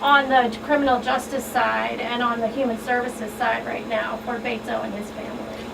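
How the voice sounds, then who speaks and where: A woman's voice: fairly high pitch, flat delivery, moderate pace, recorded from a distance. A woman asking questions conversationally on a radio talk show. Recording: off-mic, clear background room noise.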